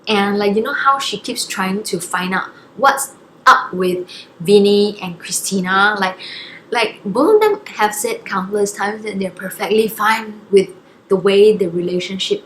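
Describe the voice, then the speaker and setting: A woman's voice: medium pitch, animated, unhurried, reverberant, slight background noise, low-quality recording. A woman from Singapore, chatting casually to camera with many fillers and self-corrections. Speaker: vlogger.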